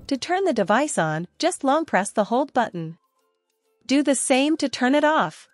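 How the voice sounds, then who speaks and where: A woman narrating a scripted product review and demo in a steady, even reading voice. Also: sits high, unhurried, soft background music. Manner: steady, even reading of long spec lists.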